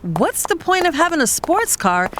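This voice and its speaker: A woman's voice: high voice, very animated, normal speed, background noise. A woman playing a sassy, guilt-torn fiancée who narrates her own romantic drama in a confiding way. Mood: playful, sassy. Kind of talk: first-person dramatic narration and romantic dialogue.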